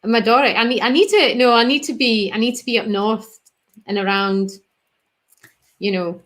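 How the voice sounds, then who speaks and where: A woman's voice: a little high, moderate pace, fairly bright tone. A woman from England with a mixed English and Scottish accent, a guest chatting casually and laughing often. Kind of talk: casual chat.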